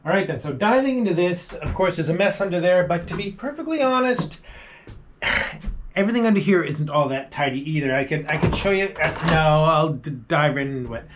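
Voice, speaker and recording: Chatty man with a higher voice. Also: animated, fairly quick, background noise. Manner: chatty, self-deprecating, practical tips. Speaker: vlogger. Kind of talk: casual how-to vlog narration. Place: small wooden boat cabin.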